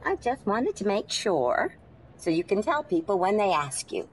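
An actress playing a polite, serene and eccentric taxi passenger, gentle and hesitant, in old-time radio style. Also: female voice, medium pitch, big pitch swings, moderate pace, slightly rough. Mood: calm.

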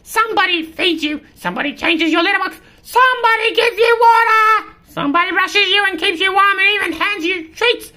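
A woman's voice: very high-pitched, fairly quick, hardly any pauses, pushed and bright, pitched above the performer's own voice. A woman voicing a bossy, exasperated squirrel in a picture-book read-aloud, preachy and emphatic. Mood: scolding. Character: bossy, exasperated, fast-talking squirrel lecturing a cat.

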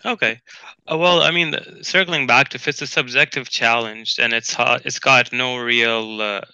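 A young man on a video call with a headset, arguing quickly and listing his points one after another. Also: medium pitch, fairly even intonation, sharp tone, clear, resonant tone, no room sound, rough recording. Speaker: host. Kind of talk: casual debate on a video call. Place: small indoor room with bookshelves.

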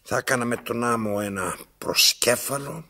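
A man's voice: mid-range voice, pushed and bright. An actor playing an imperious, querulous old man in radio theatre, theatrical and demanding, repeating himself. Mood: weary, brooding.

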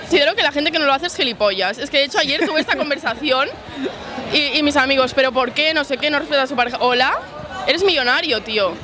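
A woman's voice: high voice, fast. A woman in her twenties with an accent from Spain, chatting in casual slang into a handheld mic on the street. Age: 20s. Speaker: street interviewee. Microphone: handheld microphone. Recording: busy night street crowd.

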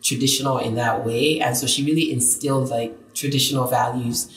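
Woman telling lively stories. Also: very deep voice, fairly quick, roomy sound, poor audio. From Nigeria. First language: Yoruba. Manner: animated storyteller, acts out voices in her tales. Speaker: podcast guest. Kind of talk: casual storytelling interview.

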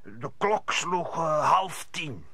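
An actor playing an old vagrant in a radio play, stammering and hesitant. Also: male voice, fairly high pitch, big pitch swings, vocal fry, sharp tone, dry recording, full character voice. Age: older. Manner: halting, stammering.

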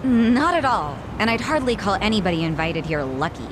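A woman's voice: mid-range voice, very animated, fairly quick, background noise, lightly acted. An actress voicing a confident, tough young woman in a video game, with a dry, direct manner. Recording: music behind the voice.

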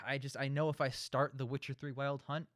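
Man, twenties, mid-pitched, casual show host, wordy and rambling, dry close recording. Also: level tone, normal speed, fairly bright tone, dry recording. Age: 20s. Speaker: host of a film discussion show. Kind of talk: casual group discussion.

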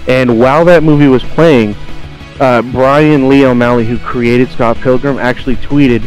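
Male panelist, medium pitch, informal podcast discussion with faint musical backing. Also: steady tone, unhurried, soft background music, low-quality recording. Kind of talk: casual discussion.

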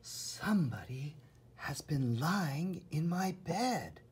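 Man voicing middle-sized mother bear, soft, whispery, raised pitch, concerned, storybook. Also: big pitch swings, takes it slowly, full character voice. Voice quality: breathy, whispery.

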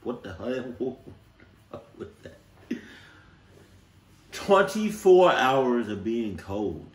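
A young man hosting a reaction video, reacting to the stories with loud startled exclamations, swearing and laughing. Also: medium pitch, very animated, drawn-out words. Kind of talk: casual reaction commentary. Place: indoors in front of a green screen. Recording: roomy room sound.

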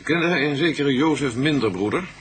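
An actor playing a shrewd, brisk police chief inspector in a radio crime drama, dry, probing and authoritative. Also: male voice, fairly high pitch, expressive, lightly acted. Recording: noisy old radio drama recording.

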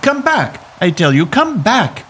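An actor playing a grieving young English gentleman in a Victorian audio drama, speaking earnestly and formally. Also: high voice, sing-song, unhurried, a little hiss or hum, exaggerated voice. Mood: alarmed, pleading.

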